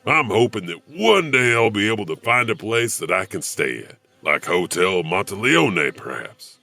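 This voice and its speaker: A man's voice: on the low side, big pitch swings, moderate pace, gravelly, sharp tone, no room sound, exaggerated voice. A man playing a creepy, sardonic wandering janitor who narrates horror stories theatrically with chuckling asides. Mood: musing, sardonic. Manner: sardonic, chuckling, rhetorical questions. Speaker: host of horror anthology podcast.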